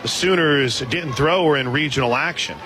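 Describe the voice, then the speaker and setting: A man calling softball live on the radio as the play-by-play announcer. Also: a little high, normal speed, very noisy. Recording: loud crowd and music behind broadcast. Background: ballpark crowd and music.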